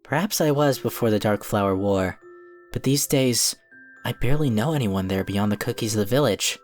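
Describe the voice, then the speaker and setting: A man playing a calm, diplomatic cookie wizard who speaks in an earnest, formal, measured way in a fan cartoon drama. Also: lowish voice, faint music behind, lightly acted. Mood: calm, firm. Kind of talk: scripted fan audio drama acting.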